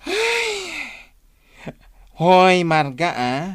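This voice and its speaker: An actor playing an effeminate, gossiping cousin who stirs up trouble with shrill exclamations in a radio drama. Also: male voice, huge pitch swings, drawn-out words, a bit bright, no room sound, studio quality, exaggerated voice. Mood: gossipy.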